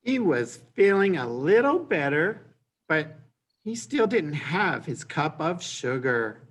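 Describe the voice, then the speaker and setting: Slow, lighter-voiced man narrating. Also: on the high side, sing-song, fairly bright tone, breathy edge. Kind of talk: scripted reader's theater storytelling.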